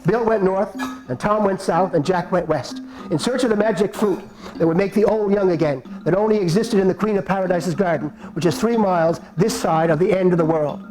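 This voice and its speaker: A man narrates a folk tale for a live puppet show in a high-pitched voice. He is playful and comic, piling up repeated phrases for effect. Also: a little fast, few pauses, rough recording. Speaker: storyteller and puppeteer.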